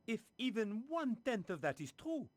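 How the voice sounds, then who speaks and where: A man's voice: sits high, sing-song, moderate pace, dry recording. An actor playing an urbane, theatrical and knowing male scholar in video game dialogue. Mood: intrigued.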